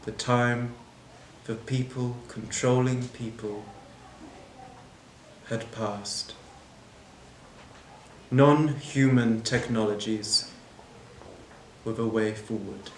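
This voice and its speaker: A man acting a bitter, disillusioned manager in a scripted dramatic monologue, solemn and deliberate, pausing between short phrases. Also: mid-range voice, fairly even intonation. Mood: grave conviction. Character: bitter, disillusioned airport manager defending replacing people with machines. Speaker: actor performing a monologue. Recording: roomy echo, clear background noise.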